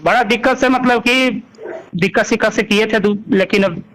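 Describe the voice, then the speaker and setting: Male interviewee, high voice, Bhojpuri-belt Hindi accent, conversational, emphatic, often repeats points and checks the listener follows. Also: moderate pace, fairly bright tone, low-quality recording. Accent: Bhojpuri-Magahi belt Hindi, eastern India. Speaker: singer being interviewed.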